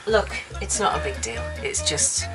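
A woman in her fifties chatting casually to the camera in a sailboat cabin, relaxed and quick to laugh. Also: sits low, over music, rough recording. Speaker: sailing vlogger. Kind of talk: casual vlog chat. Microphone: handheld camera.

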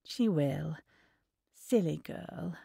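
A woman voicing a sensible middle-aged aunt in an audiobook, calm, measured and subdued. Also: deep voice, creaky, husky, no room sound, clean studio sound, exaggerated voice. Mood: resigned.

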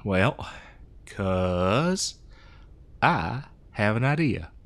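A man's voice: fairly low pitch, very animated, full character voice. A man playing a dim, good-natured cowboy mail rider in a comedy roleplay, rambling hesitantly in an American cowboy drawl. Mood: mildly indignant. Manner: rambling, hesitant, folksy, slow-witted. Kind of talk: improvised comedy audio roleplay.

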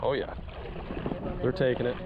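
A man in his thirties giving casual, excitable running commentary as he fishes outdoors, often exclaiming and repeating his phrases. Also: mid-range voice, normal speed. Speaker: vlogger fishing from a jet ski. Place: outdoors on a jet ski at sea. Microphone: action camera worn or held close. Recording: dull action-camera audio, loud wind and water.

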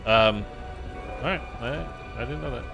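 A man's voice: medium pitch, a little slow, vocal fry, dull audio, music in the background. A man casually commenting on a game as a streamer, loose and rambling with many fillers.

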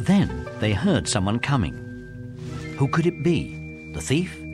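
A man narrates a children's adventure story. His medium pitch moves with a lot of expression, and faint music sits in the recording. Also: huge pitch swings, slow.

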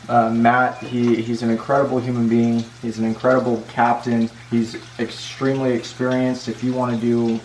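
A man's voice: mid-range voice, darkish tone, a little hiss or hum, low-quality recording. A man in his thirties, chatty and upbeat, talking through a cooking vlog in his home kitchen. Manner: upbeat, chatty, explains each step. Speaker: cooking vlogger. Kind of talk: casual cooking vlog to camera.

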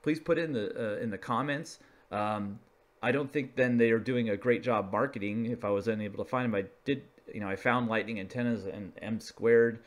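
A man with a fairly low voice presents a casual hobbyist explainer. He talks off the cuff in a conversational way, with some hesitant phrasing as he walks through slides. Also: a little fast. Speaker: video presenter, radio hobbyist.

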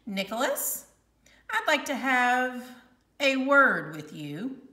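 Stern, bright-voiced schoolteacher read by a woman. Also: high voice, sing-song, voice pushed up, exaggerated voice. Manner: firm, emphatic, measured. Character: stern, controlled female schoolteacher. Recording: roomy, slightly distant home recording.

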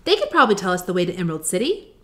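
A woman's voice: fairly low pitch, sing-song, very fast. A woman voicing an earnest young girl in a storybook reading. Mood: earnest, unsure. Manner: earnest, questioning.

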